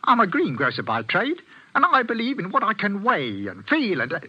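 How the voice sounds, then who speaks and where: An actor playing a superstitious village greengrocer in a radio mystery, with a rustic working-class English accent and a deferential, nervous, stammering manner. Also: male voice, sits high, wildly swooping pitch, normal speed, slightly rough, exaggerated voice. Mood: indignant. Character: superstitious, blustering village greengrocer, a male church helper. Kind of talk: 1940s radio mystery acting. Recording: dull, lo-fi vintage radio recording.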